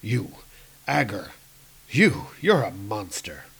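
A man voicing a proud, heroic male thunder god from a comic, in a gravelly voice. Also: fairly low pitch, very animated, slow, a bit bright, full character voice, rough recording. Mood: defiant.

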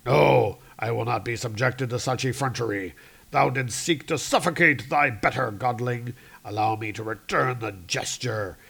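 A man's voice: medium pitch, normal speed, gravelly, a bit bright, husky. A man voicing a proud, indignant storm god from a comic book, declaiming in grand archaic speech.

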